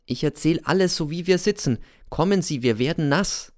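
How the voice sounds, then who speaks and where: A man's voice: a little high, expressive, moderate pace, pitched above the performer's own voice, lightly acted. A man reading aloud and voicing a sly, secretive older man who talks with urgent, insinuating eagerness. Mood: coaxing.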